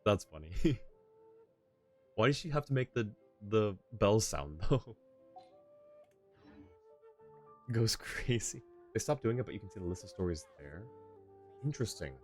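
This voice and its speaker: Low-voiced chatty male game streamer. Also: very animated, slow pace, pauses often, soft background music. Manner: rambling, chatty, laughs often. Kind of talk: casual gameplay chat.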